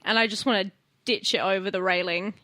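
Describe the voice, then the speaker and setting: A woman's voice: mid-range voice, fairly even intonation, brisk, sharp tone. A woman chatting casually as a player in a tabletop roleplaying podcast. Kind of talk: casual chat at an actual play game.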